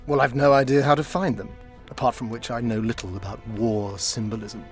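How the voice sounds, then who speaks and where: An actor playing a condescending, scholarly court sorcerer in a video game, pompous, silky and mock-courteous. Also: male voice, mid-range voice, fairly even intonation, brisk, faint music behind, close to an everyday voice. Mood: sceptical. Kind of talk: video game dialogue acting.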